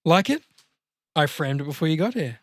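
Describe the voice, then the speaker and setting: Male actor as sarcastic comic-book slacker, crude and overblown, high voice with big swings, comedy table read. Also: lively intonation, normal speed, no echo, clean studio sound. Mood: mock-grand sarcasm. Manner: exaggerated, sarcastic delivery. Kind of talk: overacted comedy script read.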